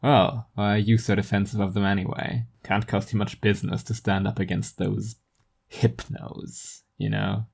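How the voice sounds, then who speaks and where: A paranoid middle-aged businessman in an audio drama as a solo narrator acts him out, low-key and dark in tone. He speaks haltingly and conspiratorially, insinuating his bigotry to whoever is listening. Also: level tone, gravelly. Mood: conspiratorial, bitter.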